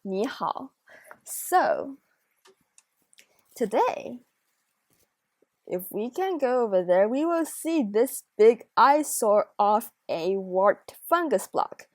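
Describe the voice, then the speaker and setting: Young woman, Chinese native speaker, unhurried gameplay commentary, dry close sound. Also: a little high, very animated, a little mellow, no room sound. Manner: chatty, self-correcting, thinks aloud. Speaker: Minecraft builder and narrator.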